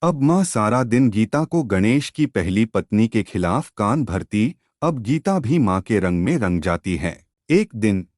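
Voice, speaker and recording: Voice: mid-range voice, big pitch swings, a little slow, darkish tone, no echo, studio quality. A narrator reading a scripted moral story.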